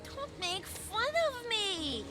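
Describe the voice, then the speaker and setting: A youthful, petulant goddess of wisdom from a video game. Her voice sits very high and moves up and down dramatically. She sounds hesitant yet emphatic, with music clearly playing behind her. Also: big pitch swings, slow pace, exaggerated voice. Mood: indignant. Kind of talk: video game cutscene acting.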